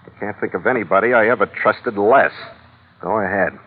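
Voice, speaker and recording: A man's voice: on the low side, moderate pace, breathy edge. An American actor playing a tough, wry sea captain who narrates his own crime adventure in a dry, sardonic, clipped old radio drama style. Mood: wry, guarded. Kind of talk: 1940s-50s American radio drama acting, hardboiled narration. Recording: tinny old radio recording, music behind.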